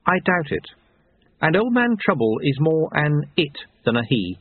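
A severe young woman as a male narrator puts her on, raised in pitch and speaking with withering precision. She is brisk, formal and in full command, an audiobook character heard through a thin, phone-like band. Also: big pitch swings, breathy edge, no echo, heavily acted. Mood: brisk, dry. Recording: narrow tinny phone-like sound.

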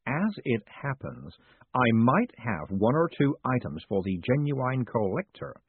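Male narrator as a big proud shopkeeper, furtive salesman's patter, swooping husky delivery, storybook character, narrow phone-like recording. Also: mid-range voice, sing-song, measured pace, no echo, heavily acted. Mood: wary, knowing. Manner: salesman's patter, knowing and confiding. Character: big keen pin-shop man, proud and furtive. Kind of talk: audiobook character acting. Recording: muffled narrow phone-like sound.

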